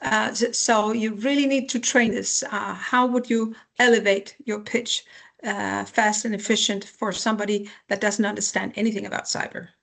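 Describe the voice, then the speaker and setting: Gravelly, practical middle-aged woman, ex-CEO guest answering webinar questions. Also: medium pitch, fairly even intonation, moderate pace, fairly bright tone. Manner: practical, advice-giving explanations. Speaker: former company CEO, guest speaker.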